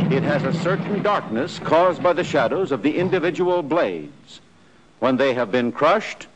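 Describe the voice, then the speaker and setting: A man with an American accent reads a scripted 1940s army training film narration. His voice sits a little high, and he gives instructions in a clear, imperative manner. The old film soundtrack carries a faint layer of noise.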